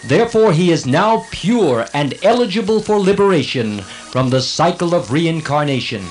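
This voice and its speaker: An actor playing a righteous celestial servant of God in a dramatized scripture audiobook, arguing earnestly in a formal, declamatory way. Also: male voice, a little high, very animated, moderate pace, soft background music.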